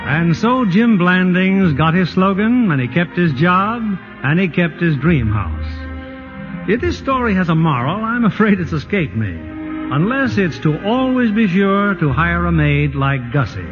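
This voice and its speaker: Lively old time radio host guy, dull recording, music behind him. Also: high-pitched, unhurried. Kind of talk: scripted narration and hosting.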